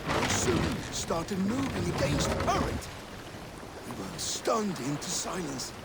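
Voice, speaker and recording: Crusty viking whaler spinning a yarn, high gravelly voice, loud boat and water noise. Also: male voice, sing-song, takes it slowly, full character voice. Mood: awed, suspenseful. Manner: dramatic, earnest storytelling. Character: weathered male Viking whaler spinning a dramatic sea yarn.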